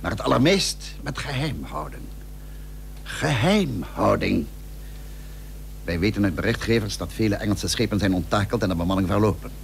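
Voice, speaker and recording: Determined political leader. Formal, emphatic radio-play delivery, gravelly edge, faintly humming old recording. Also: male voice, on the low side, animated, normal speed, a little hiss or hum. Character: shrewd, determined statesman, commanding yet diplomatic schemer. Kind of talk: historical radio play acting.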